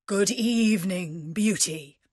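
A woman voicing a gruff male beast in a storybook, speaking slowly, formally and politely, full of longing. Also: mid-range voice, a little mellow, dry recording, clean studio sound.

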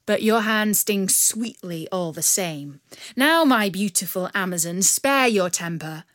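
A woman voicing a gallant young male outlaw leader, speaking in a courtly, polite and unhurried way. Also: mid-range voice, expressive, fairly bright tone, no room sound, clean studio sound.

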